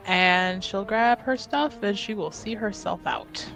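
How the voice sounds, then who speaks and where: A woman's voice: mid-range voice, animated, a little slow, creaky, a bit bright, faint music behind, rough recording. A woman playing a tabletop roleplaying game, casually narrating what her character does.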